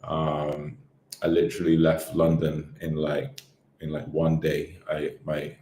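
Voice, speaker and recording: A man in his thirties from Barbados, a podcast guest telling his life story in a relaxed, rambling way with lots of fillers. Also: rumbling low, slow pace, reverberant, a little hiss or hum. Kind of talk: casual interview chat.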